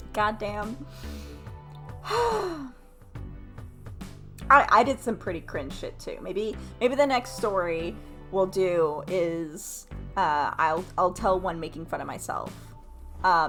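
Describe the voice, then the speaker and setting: A woman's voice: on the low side, sing-song, takes it slowly, pauses often, fairly bright tone, faint music behind. A woman in her late twenties telling stories casually to livestream viewers, candid and self-mocking, with crude humor and free swearing. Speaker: game streamer.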